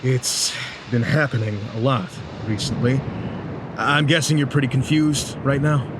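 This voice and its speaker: A man playing a calm, protective vampire leader in his thirties in an audio drama, talking in a laid-back, matter-of-fact way. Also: medium pitch, measured pace, noisy background, lightly acted. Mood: calm, helpful. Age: 30s. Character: Caleb, rough-edged thirties male vampire rebel leader, calm, protective.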